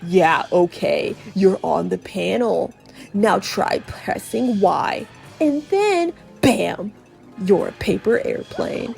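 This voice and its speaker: Lady doing an evil gleeful monster from a game chest, taunting, low creaky slow drawl, cackly, music behind. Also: expressive, a little mellow, exaggerated voice. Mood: grudging explaining. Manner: taunting, gloating, drawn-out cackles and chortles. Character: gleeful evil cursed creature from a chest, mocking trickster. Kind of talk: dramatic video game dialogue reading.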